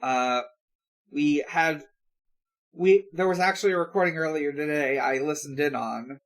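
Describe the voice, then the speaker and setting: A man hosting a podcast in casual chat, speaking in a halting way with stretched pauses. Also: fairly high pitch. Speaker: podcast host.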